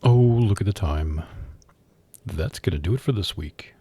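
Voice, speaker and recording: A man's voice: sits low, animated, unhurried, dark tone, slightly rough, dry recording. A man hosting his own ASMR channel, chatting casually to his viewers into a close podcast microphone. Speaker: ASMR voice actor and channel host.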